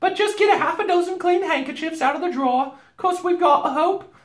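Storyteller doing a homely beaver missus, really high voice, brisk n bossy, roomy sound. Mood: soothing, bustling. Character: bustling, motherly, unflappable female beaver wife.

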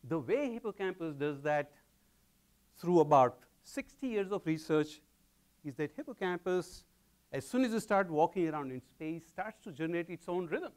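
A middle-aged man with an Indian accent lectures to a packed hall, his voice sitting a little high for a man. His pitch rises and falls in a very lively way as he moves about the stage, talking into a lapel mic. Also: normal speed. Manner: animated, gesturing while pacing the stage. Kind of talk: public science lecture. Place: large lecture hall with audience.

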